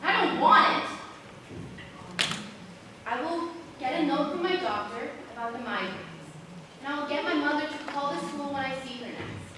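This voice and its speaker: A woman's voice: high-pitched, lively intonation, normal speed, pauses often, distant mic, noisy background. A woman playing a guarded, defiant, neglected teenage schoolgirl in an amateur stage drama, recorded on an echoey stage. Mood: defiant, defensive. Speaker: actor in a school play.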